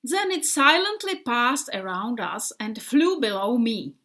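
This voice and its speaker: Woman voicing a slow-talking old bomber plane. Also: a little high, sing-song, full character voice. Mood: relieved. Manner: slow, dreamy storytelling with hushed passages. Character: male bomber airplane telling a wartime tale.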